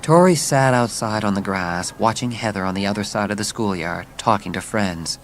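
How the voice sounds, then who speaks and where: A man's voice: on the low side, moderate pace, a little hiss or hum. A man narrating a dramatised children's story with scripted, dramatic storytelling.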